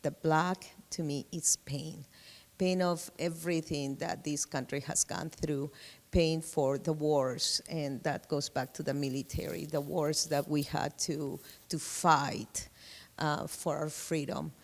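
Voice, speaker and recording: A woman from Peru, speaking slowly and emphatically in a group discussion, repeating her key words. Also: low-pitched, steady tone, big-room sound. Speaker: discussion participant.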